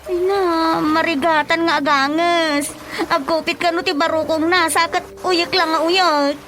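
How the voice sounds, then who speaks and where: A woman's voice: very high-pitched, steady tone, measured pace, background noise. An actress playing a fretful older village woman in a comedic radio drama, exclaiming and stammering in an Ilocano accent over music. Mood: flustered, worried.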